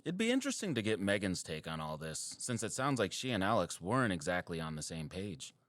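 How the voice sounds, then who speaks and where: Low-voiced, lively, caring nature lover. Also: male voice, fairly quick, no room sound, clean studio sound. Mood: concerned, curious. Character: earnest, caring male wildlife surveyor and nature lover. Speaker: habitat surveyor. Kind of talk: audio drama dialogue.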